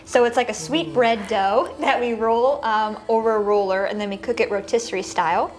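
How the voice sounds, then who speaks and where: A woman's voice: a little high, steady tone, moderate pace. A woman who co-owns a bakery, answering interview questions cheerfully with light laughs and fillers. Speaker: bakery co-owner, interview guest.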